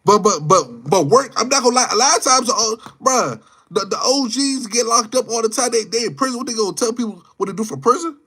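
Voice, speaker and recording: A man's voice: extremely high pitch, animated, a little fast, pushed and bright, slightly rough. A man in his twenties chatting casually as a livestream host, slangy and rambling, often repeating his words and phrases. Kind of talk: casual live chat, off-the-cuff opinion.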